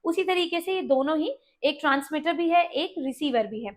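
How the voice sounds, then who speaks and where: A woman's voice: high-pitched, lively intonation, moderate pace. A woman presenting an explainer tutorial, going through things step by step and mixing in English terms. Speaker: video presenter.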